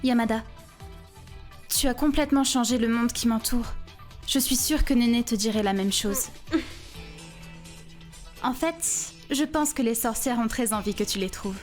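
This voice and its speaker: A woman playing a calm, thoughtful, persuasive teenage schoolgirl in a French anime fan dub, composed in manner. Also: fairly high pitch, a little slow, long pauses, soft background music, lightly acted. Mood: gently persuasive.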